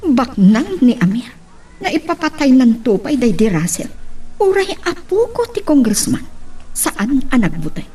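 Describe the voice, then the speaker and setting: Woman acting a mellow, brooding drama character. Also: high voice, very animated, moderate pace, husky, full character voice. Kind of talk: Ilocano audio drama acting.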